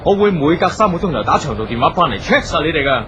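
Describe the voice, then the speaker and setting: A man's voice: on the high side, sing-song, fast, highs cut off, background noise. A man with a Hong Kong Cantonese accent playing a stubborn, domineering eldest brother who runs the family company, curt and imperious, in a radio drama. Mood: stern, dismissive.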